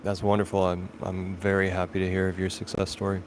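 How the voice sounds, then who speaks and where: A man's voice: sits low, completely flat delivery, fast talker, a little hiss or hum. A man hosting a livestream in casual chat, informal and encouraging with his guests. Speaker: livestream host.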